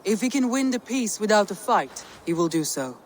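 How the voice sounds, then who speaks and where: An actress playing a confident, loyal Viking woman commander who speaks in an assured, steady way, in a video game. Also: medium pitch, moderate pace, slight background noise, natural voice.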